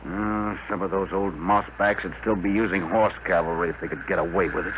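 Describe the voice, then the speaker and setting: An actor playing a bold, plain-spoken rocket builder and pilot in a 1950s radio drama, on an old broadcast recording. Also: male voice, low voice, steady tone, fairly quick, natural voice. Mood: determined. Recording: muffled, hissy 1950 radio broadcast.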